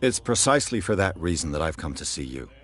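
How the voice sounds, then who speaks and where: Dry blunt detective, super low voice, bounces around a lot. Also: male voice, very animated, fast, a bit bright, breathy edge, full character voice. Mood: wry. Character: dry, sardonic male detective.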